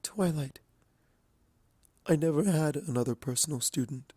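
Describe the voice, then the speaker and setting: Man voicing a soft, sorrowful regal pony mare. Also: on the high side, breathy edge, no room sound, pitched above the performer's own voice. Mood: hesitant sorrow. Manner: soft, solemn, measured. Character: gentle, sorrowful, motherly regal winged pony mare mentor.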